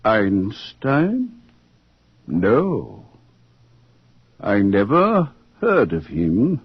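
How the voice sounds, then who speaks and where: Radio drama villain: big condescending official, coldly threatening. Rough-edged voice, lively swings, dull vintage sound. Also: male voice, fairly low pitch, very slow, no echo, exaggerated voice. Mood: smug. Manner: sardonic, condescending interrogator. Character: huge, coldly menacing male government official and scientist. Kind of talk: 1950s radio acting. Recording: muffled 1950s radio drama recording.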